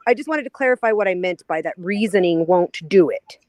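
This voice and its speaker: A woman's voice: fairly low pitch, animated, brisk, a little mellow. A woman in recovery choosing her words carefully in a casual debate, often stammering as she clarifies. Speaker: recovering alcoholic.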